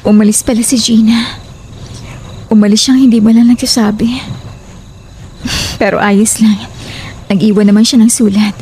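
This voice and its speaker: A woman playing a gentle, worried, polite young woman in a horror audio drama, speaking pensively. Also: a little high, flat delivery, moderate pace, pauses often, a little hiss or hum, lightly acted.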